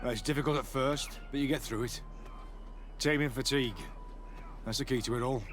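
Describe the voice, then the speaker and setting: An actor playing a guarded, dutiful young soldier, stiff, deferential and defensive in video game dialogue. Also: male voice, mid-range voice, fairly even intonation, brisk, a bit bright, a little hiss or hum, natural voice.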